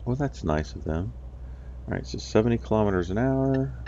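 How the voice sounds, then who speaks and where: A man's voice: on the low side, expressive, brisk, dark tone, muffled recording, slight background noise. A man from the US, stuffy and nasal from a cold, chatting in a rambling way with lots of ums as he plays a driving game. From United States. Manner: rambling, with many ums and uhs. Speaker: let's play host. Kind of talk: casual gameplay commentary.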